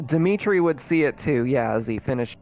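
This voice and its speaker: A man's voice: mid-range voice, animated, brisk, darkish tone. A man speaking casually as game master, improvising narration for a tabletop roleplaying game. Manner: casual, conversational.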